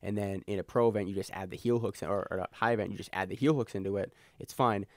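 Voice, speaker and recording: A man's voice: on the low side, quick pace, no room sound. A man co-hosting a podcast in casual chat, joking and talking over his co-host, recorded on a close mic.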